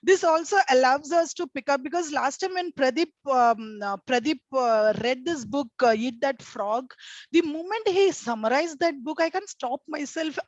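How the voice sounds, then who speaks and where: A woman's voice: high voice, moderate pace, dry recording. A woman with an Indian accent giving an informal spoken book summary, repeating lines for emphasis. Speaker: book club member presenting a book summary. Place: online group meeting. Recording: muffled, lo-fi online call audio.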